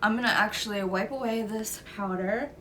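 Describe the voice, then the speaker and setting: A woman's voice: medium pitch, moderate pace, a bit bright, slight background noise. A young woman with a stuffy nose from a cold, talking through a makeup tutorial step by step in an easygoing way. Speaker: beauty vlogger.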